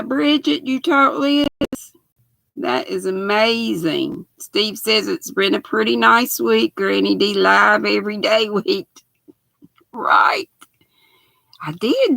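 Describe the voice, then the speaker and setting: Raspy older woman, slow and gravelly. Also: on the high side, very animated, a bit bright, dry recording. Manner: chatty, folksy, greets viewers by name. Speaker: knitting livestream host. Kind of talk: casual live chat while sewing.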